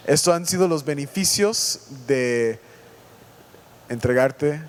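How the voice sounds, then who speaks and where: A man from the United States with an American English accent, a native English speaker, hosting a casual church podcast and talking in a halting, self-correcting way. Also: fairly high pitch, lively intonation. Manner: halting, self-correcting, joking about his Spanish. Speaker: podcast host.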